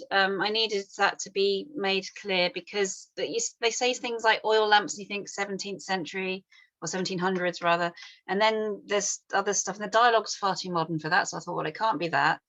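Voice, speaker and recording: A woman's voice: mid-range voice, fairly bright tone. A woman giving informal feedback as a script panel reader, hesitant, rambling and apologetic. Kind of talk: informal spoken critique.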